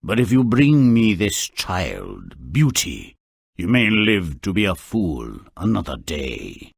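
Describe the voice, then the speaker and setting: A man's voice: sits low, wildly swooping pitch, slow pace, vocal fry, slightly rough, no room sound, studio quality, exaggerated voice. A man voicing a lovesick, menacing monster in a fairy-tale game, solemn, theatrical and formal in speech. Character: mournful, menacing male monster, lovesick man-eating beast. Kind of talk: video game fairy-tale cutscene acting.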